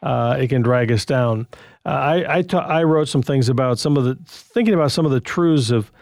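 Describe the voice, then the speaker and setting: A man's voice: mid-range voice, normal speed, a little mellow, no room sound. A man hosting a call-in advice show on the radio, talking in a loose, conversational way and chuckling often. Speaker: radio host.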